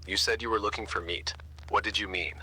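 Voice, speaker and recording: A man performs a grave analog horror narrator with a very deep, husky voice. He is measured and deliberate, delivering scripted lines with an ominous weight. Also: fairly even intonation, bright, forceful tone, exaggerated voice. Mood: probing. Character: grave male narrator and interrogator of a horror tale.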